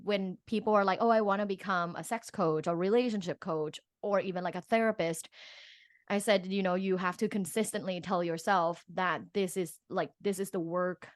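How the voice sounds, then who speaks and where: A woman's voice: fairly low pitch, fairly even intonation, normal speed, dry recording, clean studio sound. A woman from Thailand speaking as an expert guest in a casual interview, informal and peppering her speech with "like". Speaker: guest, professor and sexologist.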